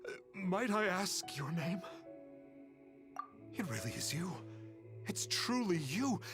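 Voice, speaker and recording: A fawning young con man from a video game, timid and reverent. His high voice pleads and stammers effusively, with music behind it. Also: very animated, slow pace, exaggerated voice. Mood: awestruck. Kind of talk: video game character acting.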